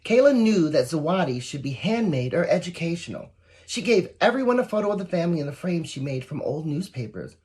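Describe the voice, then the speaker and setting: A woman with a fairly low, husky voice reads a children's story aloud. Her pitch moves around a lot as she encourages young listeners and adds little asides. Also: very animated, a little fast, a little mellow. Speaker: volunteer story reader. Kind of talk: read-aloud picture book for children, with asides.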